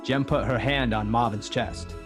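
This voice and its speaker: A man's voice: mid-range voice, normal speed. Male mock-noir narrator over music.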